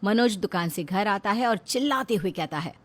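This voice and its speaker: A woman's voice: medium pitch, lively intonation, normal speed. A woman reading scripted narration as the storyteller of a family tale.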